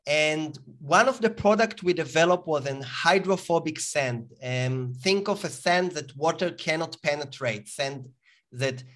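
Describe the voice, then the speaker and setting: A man from Israel with an Israeli accent, a company founder explaining his product as a guest in an interview, pausing with fillers. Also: a little high, fairly bright tone. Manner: explanatory, halting with fillers and restarts. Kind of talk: interview answers.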